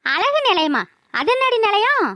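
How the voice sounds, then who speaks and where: A woman's voice: very high-pitched, big pitch swings, normal speed, sharp tone, no room sound, studio quality, heavily acted. A woman voicing a sarcastic, nosy old mother-in-law in a village cartoon, gossipy and mocking, with a rural Tamil Nadu accent. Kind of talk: cartoon village drama voice-over.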